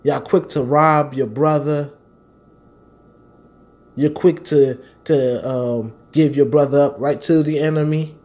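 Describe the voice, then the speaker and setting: A man's voice: fairly high pitch, fairly even intonation, slow pace, darkish tone. An American man delivering a fervent, informal sermon in a confrontational, slangy manner, repeating phrases for stress. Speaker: street-style preacher and Bible teacher.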